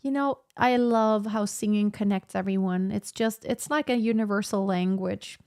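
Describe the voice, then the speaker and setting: A woman in her thirties chats through a live stream as a vocal coach, answering viewers in a loose, rambling way. Her voice sits at an ordinary middle pitch. She talks with plenty of fillers and restarts. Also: moderate pace, darkish tone. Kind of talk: casual live-stream chat reading viewer comments. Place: home in southern Germany.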